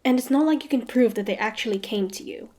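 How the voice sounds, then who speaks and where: A woman's voice: a little high, fast, darkish tone, natural voice. A woman playing a proud, suspicious young female hunter in a fantasy audio roleplay, guarded and easily flustered, with a grudging tsundere streak. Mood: doubtful, shaken.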